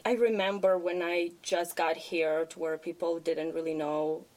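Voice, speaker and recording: A woman's voice: lowish voice, little pitch movement, unhurried, a little mellow. A woman from Ukraine, co-hosting a podcast in casual chat, speaking earnestly and repeating phrases for emphasis. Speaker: podcast co-host.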